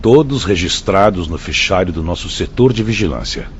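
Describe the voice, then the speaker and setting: An actor with a Brazilian accent playing an efficient, respectful spirit department chief in a radio drama, formal and businesslike. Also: male voice, low-pitched, lively intonation, moderate pace. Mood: dutiful, assured. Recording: dull lo-fi audio, faint noise.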